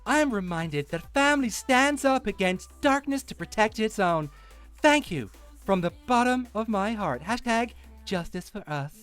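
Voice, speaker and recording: A man's voice: sits high, animated, normal speed, a bit bright, smooth, soft background music, pitched above the performer's own voice. A man doing a mock dramatic reading as a pompous, melodramatic fundraiser, pleading like a politician on the stump. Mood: solemn pleading. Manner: overblown, politician-like speechifying. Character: pompous, melodramatic crowdfunding appealer pleading for justice.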